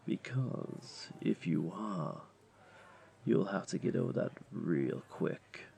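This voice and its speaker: A flirty male jaguar beastling played by a man lounges through a tavern roleplay in a very low, creaky voice. His delivery is playful and coaxing, swinging widely in pitch as he teases the listener. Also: sing-song, dark tone, full character voice. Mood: teasing, flirty. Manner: playful, coaxing, unhurried teasing. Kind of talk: roleplay audio drama, speaking directly to listener.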